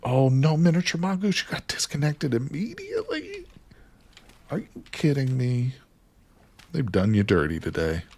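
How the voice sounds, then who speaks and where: A man's voice: medium pitch, huge pitch swings, normal speed, a little mellow. A man live streaming a survival game, chatting casually and joking with his viewers as he plays. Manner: chatty, jokes with chat, greets viewers by name.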